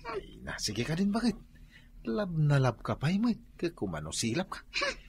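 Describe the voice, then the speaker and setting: A man's voice: fairly high pitch, huge pitch swings, moderate pace, slight background noise. A man with an Ilocano accent playing a boisterous middle-aged husband who loves drinking and singing, exclamatory and cajoling, in a comedy radio drama. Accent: Ilocano, northern Philippines. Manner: exclamatory, sing-song, cajoling.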